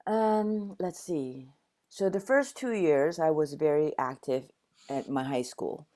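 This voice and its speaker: Older woman from Taiwan, very low voice, reflective storyteller. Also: slow, warm tone. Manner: reflective storytelling with frequent restarts. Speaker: guest, dancer and actor. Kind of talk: conversational interview.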